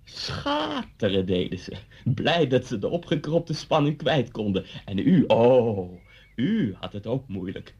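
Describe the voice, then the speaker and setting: A man's voice: medium pitch, huge pitch swings, unhurried, darkish tone, husky, full character voice. An actor playing an intense, brooding man who confronts his old schoolmaster with vivid, pointed storytelling in a Dutch radio play. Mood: insistent, vivid. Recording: dull lo-fi radio play recording.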